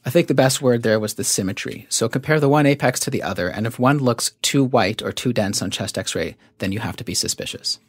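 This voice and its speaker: A man's voice: medium pitch, slightly rough, no echo, studio quality. A man speaking as a medical expert guest, explaining in a methodical, didactic and conversational way. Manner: methodical, didactic, measured phrasing.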